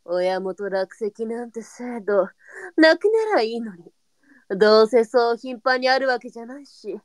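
A woman's voice: on the high side, unhurried, dry recording, lightly acted. A woman playing a pragmatic, brusque brothel mistress in a live period voice drama, with a blunt and knowing way of talking. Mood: exasperated. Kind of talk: online live voice drama acting.

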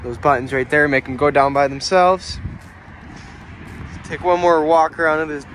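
A young man giving a relaxed, plainspoken walkaround tour of a small camping trailer, filmed on a handheld phone outdoors and then inside. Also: a little high, moderate pace, a little hiss or hum. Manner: relaxed, plainspoken, pointing things out as he goes.

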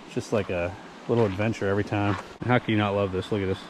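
A man chatting casually and excitably in slang as he hosts a fishing vlog outdoors on an action camera. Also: lowish voice, quick pace, low-quality recording. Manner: excitable, slangy, says dude and man often. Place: outdoors at a wooded creek. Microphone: action camera worn or held by him. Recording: outdoor recording with background noise.

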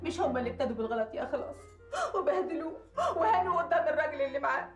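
A headstrong Egyptian woman in a TV drama, her high voice racing as she defies her friend. Her words echo around the room with faint music behind. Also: expressive, racing. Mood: defiant. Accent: Egyptian Arabic. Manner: defiant, headstrong, protective. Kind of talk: TV drama acting.

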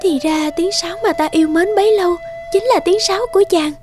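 A gentle young noble maiden, played by a woman, lovestruck and very high in pitch. She speaks in fairy-tale cartoon style over music. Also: quick pace. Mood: grateful, tender.

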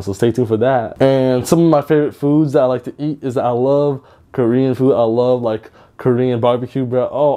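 A man's voice: mid-range voice, dark tone. A man in his twenties from Georgia, introducing his channel to camera in an upbeat, chatty way, using slang and repeating himself. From Georgia, USA. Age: 20s. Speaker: YouTuber. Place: bedroom with lit shelves, indoors.

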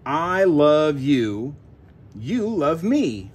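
A man's voice: on the high side, big pitch swings, very slow, husky. A father chatting and reading casually with a toddler, playfully prompting with simple questions. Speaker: father reading to his young daughter. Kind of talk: casual read-aloud and chat with a toddler. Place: carpeted room at home.

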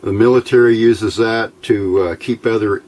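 A middle-aged American man giving an informal, unscripted technical explanation in a plain-spoken, folksy, halting way, recorded on a camera microphone at a workbench. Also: lowish voice, fairly even intonation, a little mellow. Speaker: electronics hobbyist presenter. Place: indoors at a workbench. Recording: noisy home-made camera audio.

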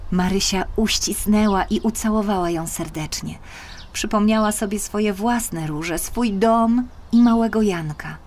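A woman narrating a children's fairy tale as a scripted audiobook, expressive and measured. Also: medium pitch, slight background noise.

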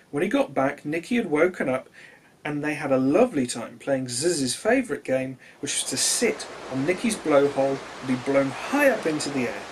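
A man in his thirties reading children's stories aloud in an expressive, gentle way for young listeners. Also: on the high side, breathy edge, low-quality recording. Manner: animated, simple and gentle address to young listeners. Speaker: children's storyteller and host.

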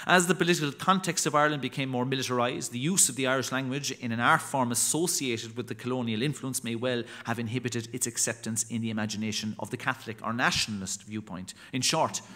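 A man in his thirties reading a prepared academic talk at a lectern microphone in a chapel, formal and measured. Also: mid-range voice, sharp tone. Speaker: lecturer.

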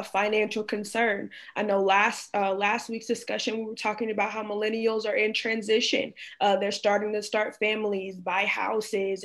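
A young woman moderating a panel discussion on a video call, summarizing each answer in a measured way. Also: medium pitch. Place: home room, indoors.

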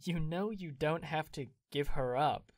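A cheerful young gentleman. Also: fairly high pitch, unhurried, no room sound, studio quality.

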